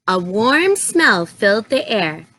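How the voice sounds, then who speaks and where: A woman storyteller reading a children's story aloud in an expressive, deliberate way. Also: mid-range voice.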